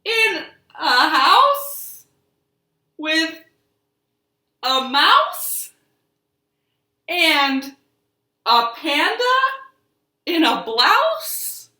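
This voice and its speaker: A woman hosting storytime for young children, speaking in an expressive, encouraging sing-song way in a living room. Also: high voice, drawn-out words, pauses often. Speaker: children's storytime librarian host. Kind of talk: children's storytime, talking and reading aloud to young kids. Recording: roomy home living room echo.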